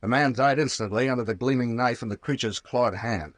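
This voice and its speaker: A tough investigator from an old radio thriller, acted by a man. He speaks in a curt, skeptical, commanding way at a middling male pitch, recorded dry and close. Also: fairly quick, dry recording, natural voice. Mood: grim recounting. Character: tough, stern male private investigator, a captain. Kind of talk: 1940s radio acting.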